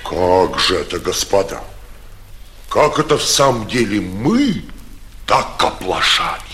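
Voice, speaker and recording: An actor playing an indignant, ponderous provincial official in 1940s Russian stage style. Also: male voice, low voice, wildly swooping pitch, slow, fairly bright tone, full character voice. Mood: indignant, baffled.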